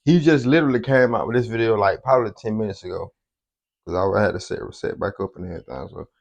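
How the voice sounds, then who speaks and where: A man's voice: on the low side, fast, vocal fry. Slangy casual young man reacting. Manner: slangy, loose and chatty. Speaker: reaction video host.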